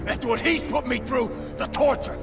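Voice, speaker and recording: An actor playing a bitter, vengeful crime boss in a video game, defiant and seething in a strained, gravelly voice. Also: male voice, very high voice, a little fast. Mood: enraged, vengeful. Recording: loud cinematic game music behind.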